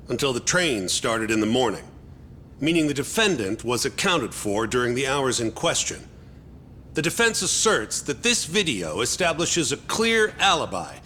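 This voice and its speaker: An actor playing a confident male defense attorney in a video game, making pointed, formal arguments in a deliberate way. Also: mid-range voice, pushed and bright, a little hiss or hum. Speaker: defense lawyer. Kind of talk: English video game voice acting, courtroom argument.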